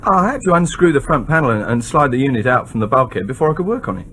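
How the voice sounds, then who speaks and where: An actor playing a nervous, defensive submarine technician in a radio drama, halting and deferential as he protests. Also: medium pitch, sing-song, fairly bright tone, slight background noise. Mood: guarded. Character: nervous, defensive young male submarine technician under interrogation. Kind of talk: broadcast radio drama acting.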